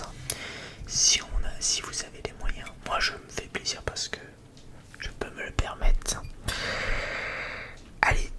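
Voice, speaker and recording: An ASMR host chatting casually in a whisper, rambling along in informal, slangy speech close to the microphone.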